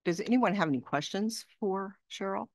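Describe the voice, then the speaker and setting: Woman, board chair, procedural, filler-heavy, middling pitch, dry close sound. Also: moderate pace, a little mellow, no echo. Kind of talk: chairing a public meeting. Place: large boardroom.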